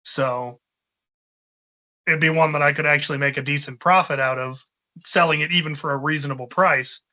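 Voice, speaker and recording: Male co-host in his forties, slightly high voice, rambling casual chat. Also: fairly even intonation, normal speed, bright, forceful tone. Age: 40s. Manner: rambling, thinking aloud with many fillers. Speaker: co-host, blaster modder.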